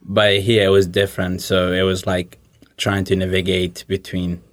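A man's voice: sits low, completely flat delivery, normal speed. A man, answering interview questions informally and hesitantly, often rephrasing as he goes. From Somalia. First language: Somali. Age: 20s. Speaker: interview guest.

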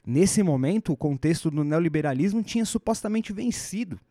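A man's voice: on the high side, animated, a little fast, dark tone, no room sound, studio quality. A man with a Brazilian Portuguese accent hosting a podcast, explaining history in a thoughtful conversational way, often restarting his phrases and adding rhetorical asides. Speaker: podcast host.

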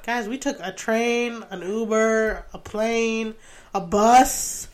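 A woman's voice: on the high side, slow. Chatty young woman co-hosting a podcast. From Rhode Island. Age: 20s. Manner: chatty, animated storytelling with laughs and "like"s. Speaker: podcast co-host. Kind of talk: casual chat.

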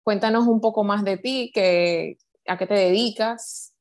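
A woman's voice: medium pitch, unhurried, a little mellow. A woman from the Dominican Republic hosting a podcast interview in an upbeat, affirming way. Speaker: podcast host, life coach.

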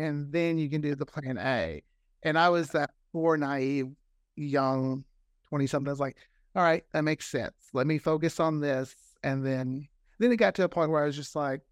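A man with a fairly high-pitched voice talks casually in an interview. He tells stories in an animated way, slipping into quoted dialogue. The sound is dry and close, with little room on it. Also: dry recording. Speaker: podcast creator guest.

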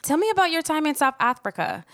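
A woman's voice: high voice, very animated, quick pace. Bubbly lady podcast host, eager, lots of yeps and okays. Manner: bubbly, encouraging, lots of backchannel. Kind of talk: casual podcast interview.

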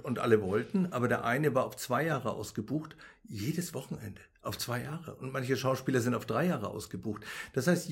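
A man's voice: mid-range voice, creaky, breathy edge. A middle-aged man, a guest in an interview, explaining his views on money, happiness and spirituality, often tagging sentences with "ne?". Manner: explains with frequent "ne?" tags.

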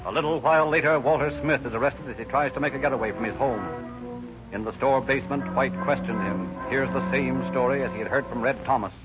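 An actor as a grave narrator of a police story, formal and dramatic in 1930s radio style. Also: male voice, mid-range voice, a little fast, close to an everyday voice. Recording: muffled vintage radio recording. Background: music.

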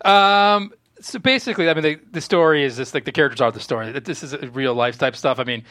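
A man chats as an interviewed writer, very quickly and informally. His pitch is average and the sound is dry and close, with his explanations loosely phrased. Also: pushed and bright, no room sound. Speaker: screenwriter being interviewed. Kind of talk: casual interview chat.

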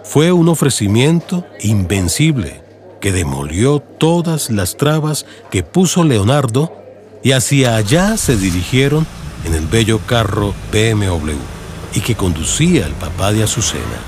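A man's voice: fairly low pitch, big pitch swings, measured pace, a little mellow. A man with a Colombian accent narrating a scripted audiobook in radio-drama style. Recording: faint background noise and ambience.

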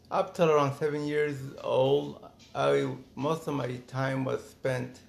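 A middle-aged man from Mexico reminiscing casually in an interview, thinking aloud in halting phrases with false starts. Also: mid-range voice, vocal fry. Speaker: interviewee, former migrant worker.

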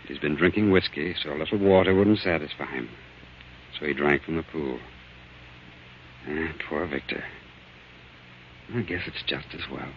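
Low-voiced, composed doctor. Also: male voice, normal speed, pauses often. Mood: resigned. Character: calm, observant, quietly forgiving male doctor. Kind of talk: 1940s radio acting. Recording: muffled, noisy old radio recording.

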